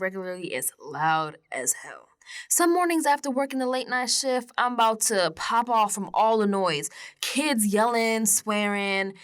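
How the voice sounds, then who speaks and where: A woman's voice: fairly high pitch, a bit bright. A woman playing a streetwise, unsettled young American woman in an audio drama monologue, confiding in colloquial, emphatic speech. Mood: uneasy, hushed. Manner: colloquial, sassy, emphatic, dropping into whispers.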